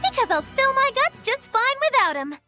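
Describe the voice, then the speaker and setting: A cheeky, pompous young witch in a video game, extremely high-pitched and swooping wildly in tone. She declaims with theatrical self-importance over clear background music. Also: very animated, brisk. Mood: mock-defiant.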